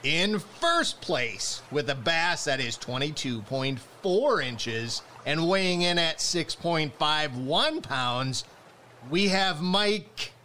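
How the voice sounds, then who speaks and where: An actor playing an earnest, easily flustered small-town fishing tournament reporter in a radio play. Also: male voice, a little high, lively intonation, slow pace, pushed and bright, slight background noise, full character voice. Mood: flustered, hesitant. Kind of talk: radio play acting as live sports broadcast announcing.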